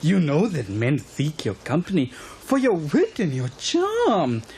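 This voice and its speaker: A woman voicing a deferential, expressive maidservant in a cartoon, with a dramatic way of talking. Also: low-pitched, takes it slowly, breathy edge, full character voice. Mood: concerned, flattering. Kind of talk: cartoon voice acting.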